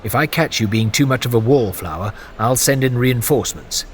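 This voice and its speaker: A man playing a patient, smooth-talking diplomat who teases his blunt friend wryly. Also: medium pitch, a little fast, a little hiss or hum, close to an everyday voice. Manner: wry, teasing, smooth-talking. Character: teasing, patient male aristocrat friend and diplomat. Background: music.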